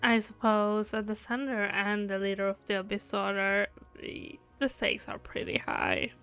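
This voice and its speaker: A woman doing casual, chatty game commentary in an unhurried, hesitant way, picked up unevenly by a gated microphone. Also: mid-range voice, lively intonation, creaky, fairly bright tone, clean tone. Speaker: game streamer. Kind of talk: casual game playthrough commentary, reading dialogue aloud. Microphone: gated microphone, uneven pickup. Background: game music.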